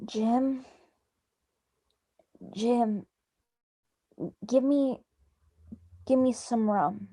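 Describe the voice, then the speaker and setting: A woman playing a gruff, hard-drinking old pirate captain in a radio drama, terse and halting. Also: on the high side, steady tone, mellow. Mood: weak, pleading.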